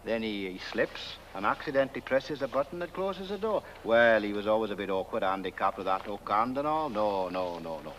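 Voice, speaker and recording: Pompous, bumbling British village constable. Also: male voice, on the low side, sing-song, fairly quick, hardly any pauses, a bit bright, dull audio, slight background noise. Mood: self-satisfied. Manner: pompous, rambling deductions. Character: bumbling male village constable, self-important and dim. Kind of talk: 1970s comic film acting.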